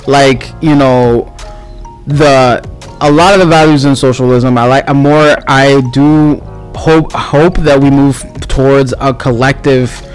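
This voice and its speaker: A man of Cuban heritage in casual conversation, talking animatedly with quick asides and sudden jumps up in pitch when quoting others. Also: soft background music, low-quality recording. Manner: animated, fast asides, voice jumps up for quoted remarks. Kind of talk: casual chat.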